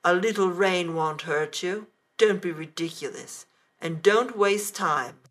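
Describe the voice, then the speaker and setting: A woman's voice: lowish voice, a little slow, close to an everyday voice. A woman reading the lines of a grumpy, selfish husband in a learners' story, bossy and scornful. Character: grumpy, selfish middle-aged husband, demanding.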